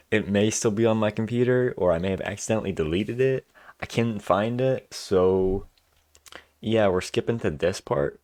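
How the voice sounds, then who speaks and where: A man's voice: on the low side, a little slow, a little mellow, dry recording. A man giving casual let's-play commentary in an offhand, hesitant way that tends to trail off. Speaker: video game player commentating.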